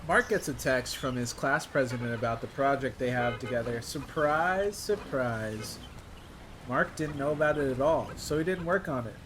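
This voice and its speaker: A man's voice: mid-range voice, moderate pace, background noise. A young man giving casual, slangy video game commentary with a wry manner. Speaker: gaming content creator. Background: game music.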